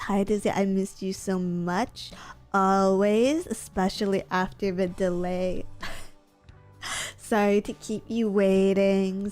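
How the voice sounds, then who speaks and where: Slow, chatty, sniffly young woman. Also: medium pitch, a little mellow. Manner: chatty, giggly, rambling with many fillers. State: sniffly from allergies. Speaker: streamer. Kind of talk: casual chat with viewers.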